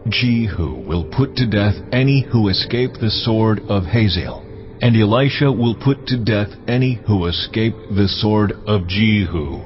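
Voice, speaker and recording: A stern, solemn God voiced by a man pronounces very slowly in a deep voice. This is an audio Bible drama, slightly lo-fi, with music clearly behind. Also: natural voice. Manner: slow, weighty pronouncement. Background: clear background music.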